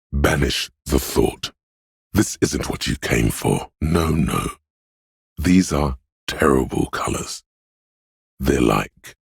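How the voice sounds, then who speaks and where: A man voicing an eerie, brooding inner voice of intuition in a video game, speaking in slow, hushed, cryptic pronouncements with dramatic pauses and a gravelly husk. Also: dry recording, clean studio sound, full character voice. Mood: pleading, uneasy. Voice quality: very deep, gravelly, husky.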